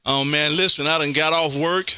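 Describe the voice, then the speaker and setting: A man's voice: a little high, fairly even intonation, moderate pace, very noisy. An American man from Texas hosting an amateur radio stream with casual, folksy chat and call-sign jargon. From Texas, United States. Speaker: amateur radio livestream host.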